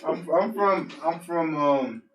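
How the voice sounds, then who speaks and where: A man's voice: fairly high pitch, takes it slowly, soft background music. A young man from Colorado co-hosting a casual group chat over food, easygoing and slangy, who explains things at length. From Colorado, USA. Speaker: co-host. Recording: roomy, distant mic, faint noise.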